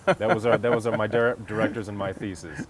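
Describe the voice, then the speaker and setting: A man's voice: fairly low pitch, steady tone, fast talker. An American man from Connecticut telling stories as a stage actor in a casual outdoor interview. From Connecticut, United States. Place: outdoors on a golf course. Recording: clear outdoor background noise, vehicles.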